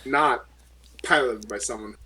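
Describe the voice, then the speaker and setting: A man's voice: mid-range voice, unhurried, bright, forceful tone, a little hiss or hum. A man bantering casually as a tabletop role-player, sardonic and sweary. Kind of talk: casual in-character game banter.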